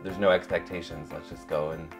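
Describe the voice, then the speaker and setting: A man's voice: sits low, level tone, fairly quick, over music. A man telling things informally in an interview, in an anecdotal, conversational way. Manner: informal, acts out quoted remarks. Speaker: interviewee in a documentary.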